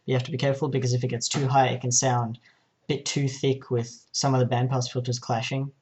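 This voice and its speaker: A man narrating an informal software tutorial, explaining each step in a hesitant way. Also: mid-range voice, level tone, fairly quick, husky. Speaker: tutorial presenter.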